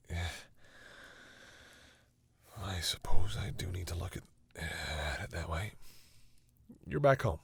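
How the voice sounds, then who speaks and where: A man playing a flustered, protective vampire who is smitten with a human, speaking haltingly and intimately to the listener in an audio roleplay. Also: on the low side, slightly rough, no echo, exaggerated voice. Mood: tender, reassuring. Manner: halting, stammering, bashful.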